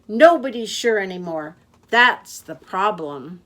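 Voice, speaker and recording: A woman voicing a chatty male yak taxi driver who rambles and complains without pause. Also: lively intonation, a bit bright. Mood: chattering. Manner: rambling, complaining, fast and high.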